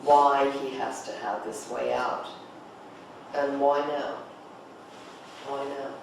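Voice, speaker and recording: A man's voice: mid-range voice, steady tone, slow pace, vocal fry. Guy running a script rehearsal, asks questions, repeats himself, super echoey room w lots of noise. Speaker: director leading a script table read. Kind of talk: casual rehearsal discussion and instruction. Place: echoey indoor room at a group table read. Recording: very echoey, distant mic, noisy room.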